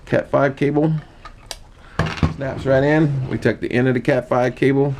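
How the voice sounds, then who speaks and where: A man giving an informal how-to demonstration, explaining step by step in a halting manner. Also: mid-range voice, flat delivery, noisy background. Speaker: hobbyist demonstrator. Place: indoor room.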